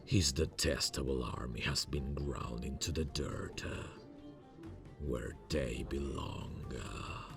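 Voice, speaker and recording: A man playing a proud, charming demon king in an ASMR fantasy roleplay, speaking in a gravelly, grandiose, theatrical way. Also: very deep voice, music in the background, heavily acted. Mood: boastful, triumphant. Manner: grandiose, theatrical, slow and deliberate.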